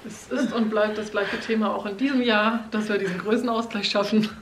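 A woman's voice: mid-range voice, steady tone, brisk, roomy sound, a little hiss or hum. A woman in her twenties chatting casually and joking about herself as a vlog co-host, filmed by a camera on a table in an apartment. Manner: chatty, self-mocking, laughs often. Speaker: vlogger co-host. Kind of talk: casual chat. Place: apartment living room, indoors.

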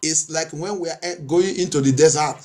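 Male pastor, higher-pitched voice, declamatory and repetitive, delivering insistent prayer and sermon. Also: normal speed, darkish tone. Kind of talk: bilingual sermon and fervent prayer.

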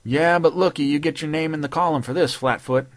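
A man's voice: on the high side, fairly quick, no echo, pitched above the performer's own voice, lightly acted. A man voicing a cocky, wisecracking male newspaper columnist with a taunting, sardonic delivery.

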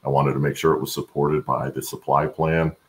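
A middle-aged man with an extremely low, gravelly voice. He talks as an expert guest on a video call, explaining things step by step with frequent hesitations. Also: little pitch movement, a little fast, slight background noise. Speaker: guest, supply chain expert. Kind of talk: interview answers, explaining work practice. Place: room at home, on a video call.

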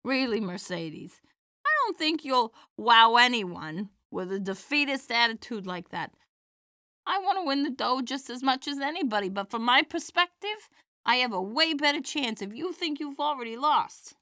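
Woman acting a wisecracking granny comic, high bright voice, wry timing, dry close recording, audio drama. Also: animated, normal speed, no echo. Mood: earnest, encouraging. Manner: wry, theatrical comic delivery. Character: feisty elderly granny stand-up comedienne, warm-hearted and wisecracking. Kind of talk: audio drama stand-up and dialogue.

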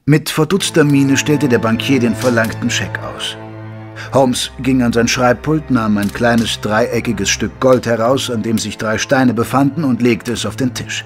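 A man plays a gentlemanly narrator in a Victorian detective radio play. He tells the story in a measured, composed way at a middling pitch, over faint music. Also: fairly even intonation, lightly acted. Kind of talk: scripted audio drama narration.

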